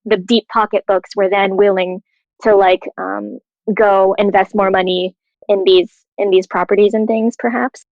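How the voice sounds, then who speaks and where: A woman's voice: mid-range voice, level tone, a little slow, dry recording, dull audio. A woman in a casual panel discussion, talking conversationally with tentative phrasing and many filler "likes".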